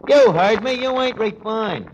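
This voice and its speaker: An actor playing a blunt, flustered, uneducated tavern manager, wisecracking and mangling words in a 1940s radio comedy style. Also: male voice, sits high, expressive, measured pace. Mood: exasperated. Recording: noisy, muffled vintage radio recording.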